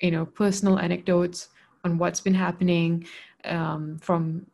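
A woman's voice: on the low side, flat delivery, measured pace, vocal fry, warm tone. A young woman from Malaysia co-hosting a podcast, chatting casually with many "you know" fillers. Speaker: podcast co-host. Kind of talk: casual interview chat.

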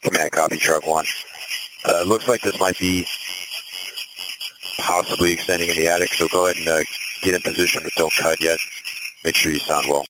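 Very deep, flat male fire commander on radio. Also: fairly quick, pauses often, background noise. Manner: clipped, composed, directive. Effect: two-way radio. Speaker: fire battalion chief acting as incident commander. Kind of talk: procedural emergency radio dispatch traffic.

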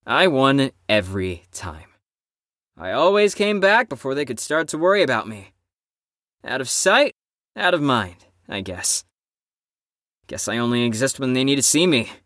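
A man's voice: medium pitch, very animated, moderate pace, pauses often, a bit bright, no echo, studio quality. A man playing a brash, sarcastic, cocky and bitter teenager in an audio drama.

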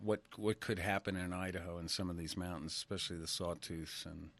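A man in his sixties from Connecticut hosting a radio interview, chatty, laughing often and filling pauses with ums. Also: deep voice, steady tone, fairly bright tone. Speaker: radio show host. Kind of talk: casual interview chat.

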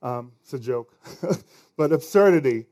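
A man's voice: medium pitch, expressive, a little slow, mellow. A man with a Nigerian accent preaching a sermon as pastor, conversational and now and then stumbling over words.

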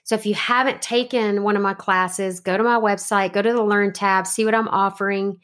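Woman, podcast host, instructive, relaxed, casual chat, filler words. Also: medium pitch, normal speed. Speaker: homeopathy teacher and podcast host.

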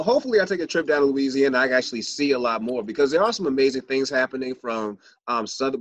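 A man's voice: medium pitch, a bit bright, no echo, low-quality recording. A man hosting a casual podcast interview, chatty and upbeat with loose restarts. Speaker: podcast host.

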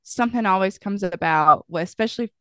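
A woman's voice: medium pitch, brisk, sharp tone, dry recording, clean studio sound. A young woman co-hosting a podcast in casual chat, a bit nasal from a head cold, rambling and giggly. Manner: rambling, giggly, lots of likes and ums. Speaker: podcast co-host.

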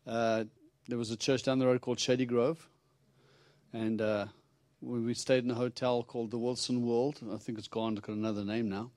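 A man's voice: mid-range voice, level tone, moderate pace, darkish tone, dry recording. A middle-aged man from South Africa with a Texan accent, preaching a chatty, humorous sermon and acting out his talks with God. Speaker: visiting preacher. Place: church.

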